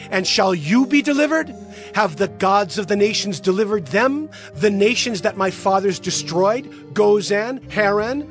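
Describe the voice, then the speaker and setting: Man acting a high-pitched, taunting Assyrian king. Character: taunting, boastful Assyrian king delivering threats. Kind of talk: dramatized scripture reading.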